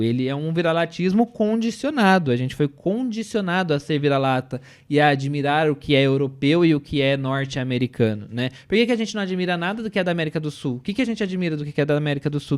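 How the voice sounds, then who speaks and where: A man's voice: on the high side, clean tone. A young adult man with a Brazilian accent, giving strong opinions in a casual panel chat, tagging sentences with "né?". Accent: Brazilian Portuguese. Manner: opinionated, emphatic, many "né?" and "entendeu?" tags. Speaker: podcast panelist.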